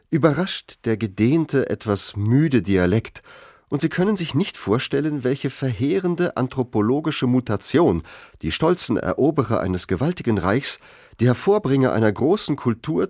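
A man's voice: mid-range voice, a little mellow, no echo, studio quality. A man narrating a scripted literary essay for radio.

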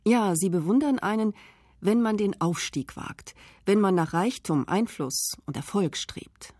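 A woman reading memoir passages in the first person as a self-made woman recalling her rise, in a measured, reflective way. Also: mid-range voice, a little mellow, no room sound, clean studio sound, natural voice.

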